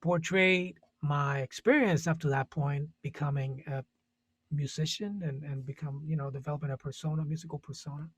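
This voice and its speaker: A man's voice: a little high, steady tone, moderate pace, husky, no echo. A man from Puerto Rico, a jazz musician, talking casually and thoughtfully on a panel, searching for words with many restarts.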